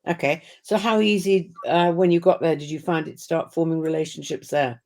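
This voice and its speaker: A woman's voice: low-pitched, fairly even intonation, normal speed, a little mellow. A woman hosting a webinar interview, curious and asking follow-up questions over a video call. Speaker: host.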